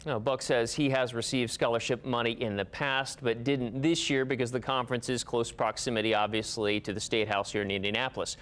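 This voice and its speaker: A man's voice: medium pitch, flat delivery, fairly quick, hardly any pauses, fairly bright tone. A man with an American accent reporting as an investigative television news reporter in a scripted style.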